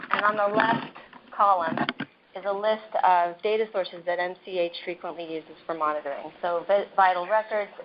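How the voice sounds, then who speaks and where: A soft-spoken woman epidemiologist presenting slides in a measured, explanatory way, with frequent ums and restarts. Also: medium pitch. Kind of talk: slide presentation over a phone line. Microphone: phone on the table. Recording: muffled phone line, faint noise.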